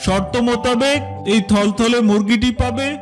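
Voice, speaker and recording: A man's voice: extremely high pitch, fairly even intonation, moderate pace, over music. A man playing a stern, formal lion king of the jungle in a children's cartoon. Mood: formal, firm.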